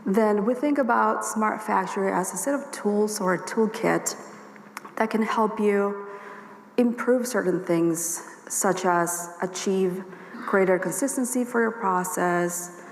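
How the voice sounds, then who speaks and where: A woman with a hoarse voice giving a workshop presentation at a conference, explaining her points steadily and speaking straight to the audience. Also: medium pitch, a little slow, slight background noise. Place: conference hall. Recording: echoey hall with long reverb, faint ambience.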